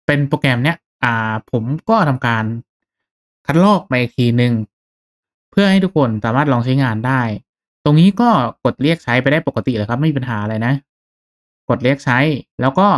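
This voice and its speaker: A man narrating a step-by-step software tutorial in a casual, conversational, instructional way. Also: medium pitch. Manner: instructional, conversational with polite particles. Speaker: tutorial host.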